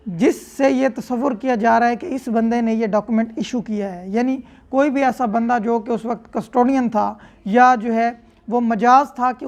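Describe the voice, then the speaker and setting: A woman's voice: fairly high pitch, a little mellow. A woman with a Pakistani accent lecturing, reading out formal clauses and explaining them in a didactic way, rephrasing each point. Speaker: law lecturer.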